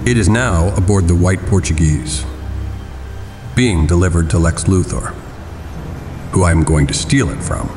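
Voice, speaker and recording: An actor voicing a grim, vengeful middle-aged vigilante billionaire in an audio drama, speaking gravely and deliberately. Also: male voice, deep voice. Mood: grave, insistent. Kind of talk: audio drama narration and dialogue. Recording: dramatic music behind the voice.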